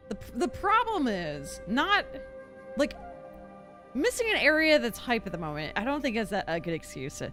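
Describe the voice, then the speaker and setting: A young adult woman streamer chatting casually over gameplay, informal and opinionated, thinking out loud. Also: a little high. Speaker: game streamer. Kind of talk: casual live gameplay chat.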